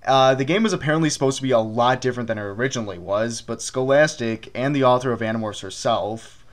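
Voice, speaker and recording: A man gives casual video game commentary among friends at an ordinary middling pitch. He jokes around and swears freely, keeping it loose and conversational. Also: fast. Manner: sweary, joking. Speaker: let's play commentator.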